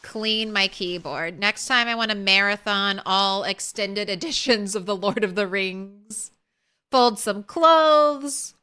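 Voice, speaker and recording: A woman streamer chatting softly and casually with her viewers while playing a game, thanking them as she goes. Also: medium pitch, slow pace, clear, resonant tone. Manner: soft, relaxed, thanks viewers. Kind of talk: casual live-stream chat while gaming.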